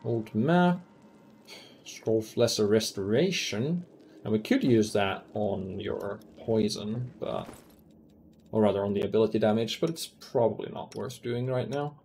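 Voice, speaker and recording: A man's voice: fairly low pitch, a little slow, creaky, a little mellow, a little hiss or hum. A man streaming a fantasy roleplaying game who thinks aloud in casual commentary, with many ums as he weighs loot and rules.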